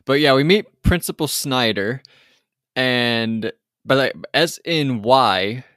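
A man co-hosting a casual podcast recap, drifting through the plot with lots of "like" and false starts. His voice sits in an ordinary middle pitch. The recording is dry and close, with no room sound. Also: lively intonation, takes it slowly, a bit bright, dry recording. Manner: rambling, lots of "like" and restarts. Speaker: podcast co-host. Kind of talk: casual chat recapping a TV episode.

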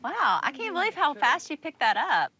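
Upbeat, lively-toned woman. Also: high-pitched, normal speed, a little hiss or hum. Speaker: reality show cast member. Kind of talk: casual chat.

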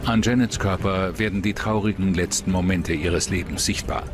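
A man narrating a scripted true-crime documentary in a measured, dramatic manner. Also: low-pitched, fairly even intonation, music in the background.

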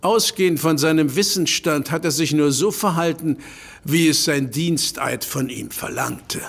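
Formal professor, measured delivery, gravelly tone. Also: male voice, fairly high pitch, full character voice. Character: calm, formal older male professor.

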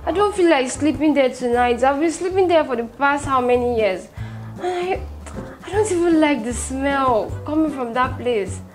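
A woman's voice: sits high, normal speed, soft background music, close to an everyday voice. A woman with a Nigerian accent playing a whiny, tearful, demanding pregnant young wife in a comedy skit. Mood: sulky. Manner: dramatic, tearful wailing and nagging.

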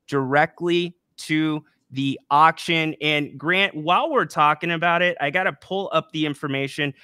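A man's voice: a little high, sharp tone, smooth, no echo, clean studio sound. A young man co-hosting a casual postgame basketball show, speaking into a podcast microphone in a small room with an upbeat, promotional manner. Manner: upbeat, promotional, brisk play-by-play recap. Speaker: co-host. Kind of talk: casual postgame sports chat. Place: small room with a plant and a banner. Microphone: podcast microphone on a boom arm.

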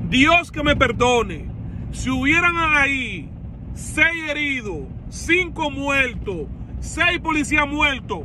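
A man in his thirties with a Dominican accent, delivering a heated political rant to his phone camera from inside a car. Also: extremely high pitch. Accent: Dominican Spanish. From Dominican Republic. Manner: heated, rhetorical questions, blunt. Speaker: vlogger. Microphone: phone at arm's length. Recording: phone audio with clear background noise.

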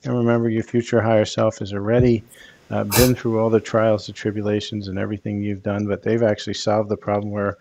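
An older man hosting a live card reading over a headset, speaking in a calm, soothing, unhurried way. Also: lowish voice, a little hiss or hum, low-quality recording. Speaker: tarot card reader.

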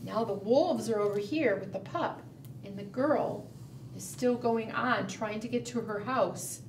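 A middle-aged woman telling a picture-book story to preschoolers in simple, expressive language, often asking the listeners questions. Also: on the high side, unhurried. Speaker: children's librarian and storytime host. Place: indoor room, book shown to camera. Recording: roomy, distant mic, background noise.

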